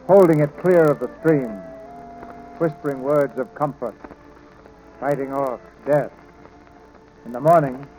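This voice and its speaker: An actor as a solemn storyteller, giving scripted, dramatic narration in an old radio play. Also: male voice, medium pitch, darkish tone, close to an everyday voice. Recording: noisy old radio broadcast.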